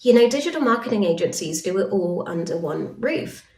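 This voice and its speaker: Female presenter, mid-pitched, frank and conversational, recorded in a roomy space away from the mic. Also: big pitch swings, brisk, darkish tone. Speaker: conference presenter, industry consultant. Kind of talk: informal conference-style presentation. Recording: roomy echo, distant microphone.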